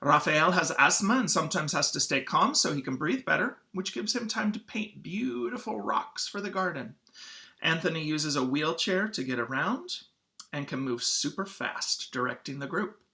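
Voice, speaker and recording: A man speaking as a schoolteacher in an informal read-aloud lesson for children, halting and often clearing his throat. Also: on the high side, expressive, pushed and bright.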